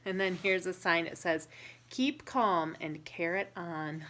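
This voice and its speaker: A woman reading a picture book aloud to young children, pausing to explain and ask questions in a deliberate, instructive way. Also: on the low side, fairly bright tone.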